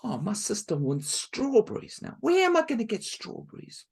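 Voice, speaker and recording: Brief: timid put-upon girl, voiced by a man, very high and lively, pleading, slightly husky. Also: moderate pace, exaggerated voice. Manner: pleading, bewildered.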